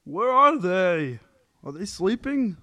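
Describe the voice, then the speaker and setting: A man's voice: sits high, sing-song, takes it slowly, pushed and bright, no room sound, exaggerated voice. A man playing a whiny, defensive sitcom husband in a script table read. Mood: achy, grumbling.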